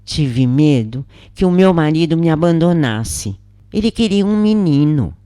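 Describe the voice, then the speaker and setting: A woman's voice: sits low, expressive, a little slow, dark tone, no room sound, clean studio sound, pitched above the performer's own voice. A woman with a Brazilian accent voicing a regretful, unsure adoptive mother who confesses and asks questions in a story.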